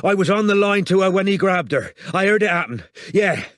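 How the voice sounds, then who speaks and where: Man voicing a burly male detective, frantic, bellowing, raised and high-pitched, dry close sound. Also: animated, a little fast, fairly bright tone, no echo. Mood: frantic shouting. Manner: bellowing, urgent.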